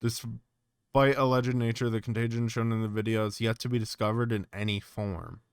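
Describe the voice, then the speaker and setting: A man with a clear, smooth voice at a middling pitch, talking casually as a streamer. He muses aloud, drifting between reading text and giving his own commentary. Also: steady tone, moderate pace, no echo, clean studio sound. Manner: musing, thinking aloud. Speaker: streamer reacting to an analog horror series.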